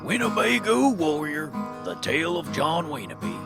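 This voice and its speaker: High, animated folksy western narrator played by a man. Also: normal speed, vocal fry, exaggerated voice. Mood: dramatic. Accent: American. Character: folksy, wry male western storyteller. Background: plucked guitar music.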